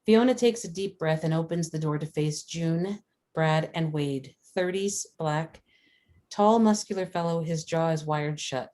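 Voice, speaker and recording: Female narrator for screenplay stage directions; deep-pitched voice, scripted read. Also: a little slow, dark tone.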